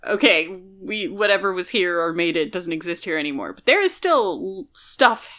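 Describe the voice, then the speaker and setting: Podcast co-host, woman, bright forceful voice, opinionated, casual book-club discussion style. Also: on the low side, big pitch swings, moderate pace. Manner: opinionated, argues points at length.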